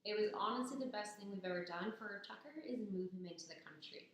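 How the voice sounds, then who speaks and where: This is a young woman, her voice raspy and hoarse after a cold. She talks in a chatty, persuasive way, pitched right in the middle. The recording rings with heavy echo. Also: fast. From Ontario, Canada. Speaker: business student. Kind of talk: persuasive speech to camera. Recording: very echoey, roomy sound.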